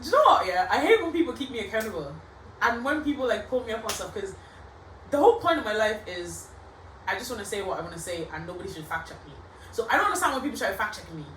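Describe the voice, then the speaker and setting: A woman's voice: mid-range voice, sing-song, fast, a bit bright, recorded from a distance. A young woman hosting a casual podcast, teasing and playful, using slangy chat. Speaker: podcast host. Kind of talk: casual banter interview. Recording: roomy, off-mic, faint background hiss.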